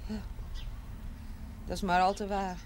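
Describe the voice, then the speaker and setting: A woman acting as a gentle family confidante with a mid-pitched voice. She is candid yet restrained, giving short consoling replies in a 1970s TV drama, with birdsong and clear noise behind. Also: little pitch movement, a little slow, close to an everyday voice. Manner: brief, restrained, plain-spoken replies. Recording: noisy old TV soundtrack, birdsong behind. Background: birdsong, ambient noise.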